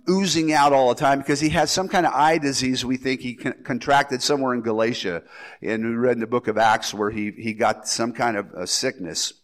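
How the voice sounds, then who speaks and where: A man with a slight cold preaching a casual, rambling verse-by-verse sermon as pastor. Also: on the high side. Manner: rambling, folksy, with restarts and asides. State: has a head cold.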